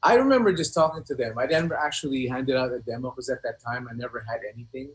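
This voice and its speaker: A middle-aged man reminiscing casually as an interview guest, in a halting, slangy way that often doubles back on words. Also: mid-range voice, slight background noise. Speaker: guest, rapper.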